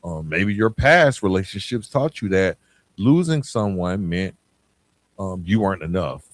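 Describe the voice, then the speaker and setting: An American man hosting a podcast, giving a reflective motivational monologue in an earnest, emphatic way with deliberate pauses. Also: low-pitched, big pitch swings, dry recording. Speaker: podcast host.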